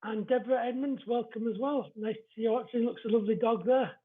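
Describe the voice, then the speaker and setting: A man's voice: very high-pitched, fairly even intonation, quick pace. A man with an East Yorkshire accent chatting casually and politely as he welcomes viewers to a live mediumship show he hosts. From East Yorkshire, England. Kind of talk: casual livestream chat greeting viewers. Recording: dull, lo-fi livestream audio.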